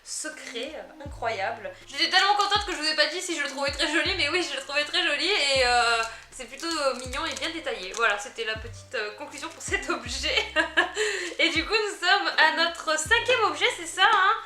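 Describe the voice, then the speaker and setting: A woman chatting through an unboxing vlog, easily delighted and quick to giggle. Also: high voice, lively intonation, pushed and bright, roomy sound, soft background music. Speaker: unboxing vlogger. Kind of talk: casual product unboxing chat.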